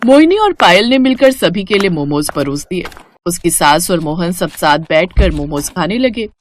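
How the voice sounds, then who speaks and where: A woman's voice: lowish voice, sing-song, moderate pace. A woman narrating a cartoon moral story in a scripted storytelling style.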